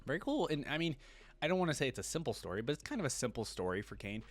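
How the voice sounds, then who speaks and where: A man's voice: medium pitch, sing-song, very fast, faint music behind. A man hosting a reaction video, switching between reading a story aloud and thinking through it in loose, everyday commentary.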